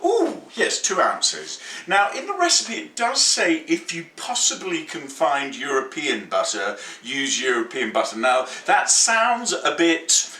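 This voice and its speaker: Excitable chatty man in an echoey kitchen. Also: a little high, far from the mic. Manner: excitable, playful and chatty, jokes and rambles. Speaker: cooking show host. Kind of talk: chatty home cooking demonstration. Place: home kitchen.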